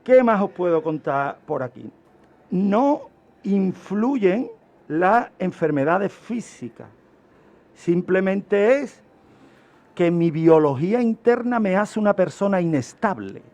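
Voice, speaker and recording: A man's voice: high voice, animated, slow pace, a little mellow. A man with a Spanish accent from Spain giving an informal, didactic radio explanation as an expert guest, repeating phrases for emphasis.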